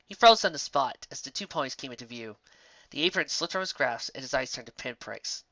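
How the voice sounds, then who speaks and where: A woman narrating a fan-fiction story in an expressive storybook style. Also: a bass voice, brisk, no room sound. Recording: dull, muffled audio.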